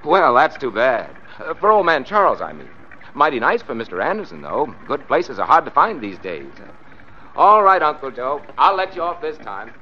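A man's voice: mid-range voice, wildly swooping pitch, moderate pace. An actor playing a cheerful young motorcycle policeman in an old-time radio drama, with an American accent and breezy, mock-formal banter. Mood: friendly, casual. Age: young adult. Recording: dull, noisy vintage radio recording.

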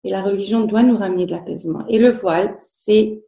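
A woman's voice: medium pitch, a little fast, creaky, warm tone, roomy sound, lo-fi sound. A woman whose first language is Arabic, speaking in a casual interview as a guest, hesitant, with many fillers and restarts.

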